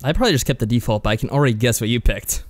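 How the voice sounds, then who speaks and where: A man's voice: mid-range voice, expressive, very fast, no echo. A man co-hosting a podcast, chatting casually about games in a laid-back, slightly tentative way. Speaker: podcast co-host.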